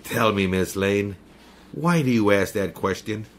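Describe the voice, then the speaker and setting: An actor playing a menacing male mystic villain in a 1930s-style radio drama, courtly and slow, then threatening. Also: fairly low pitch, a little hiss or hum, natural voice.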